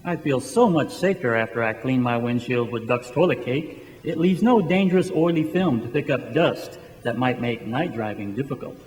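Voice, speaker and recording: An actor playing an earnest testimonial customer in an old-time radio commercial, theatrical and stiffly sincere. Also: male voice, medium pitch, moderate pace, natural voice. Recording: reverberant hall, loud music behind.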